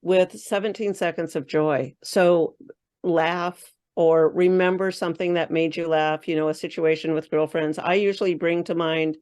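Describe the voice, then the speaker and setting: Woman, low voice, spiritual coach guest, rambling loosely with fillers. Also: fairly even intonation, moderate pace, a little mellow. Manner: thinks aloud with many fillers and restarts. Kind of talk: casual interview chat.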